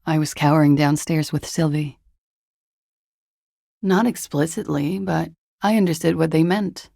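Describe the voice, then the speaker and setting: An actress playing a cool, guarded young woman in a video game, with a husky, breathy, gravelly voice and a languid, dryly understated delivery. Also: sits low, steady tone, unhurried, mellow, no echo, heavily acted. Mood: wry, detached. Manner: languid, dry, wryly understated. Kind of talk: video game character dialogue.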